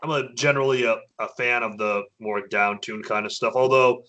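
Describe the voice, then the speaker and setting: A man, a metal band's vocalist, chatting casually as an interview guest, often halting and restarting his sentences. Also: steady tone, sharp tone. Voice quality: naturally low voice. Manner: hesitant, many restarts and fillers.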